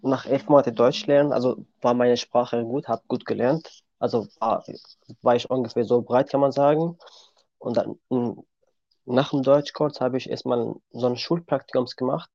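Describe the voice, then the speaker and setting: Man in his twenties, mellow voice, dull lo-fi recording. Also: mid-range voice, gravelly, dry recording. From Syria. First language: Kurdish. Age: 20s. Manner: plain, simple sentences. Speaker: guest. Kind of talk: podcast interview.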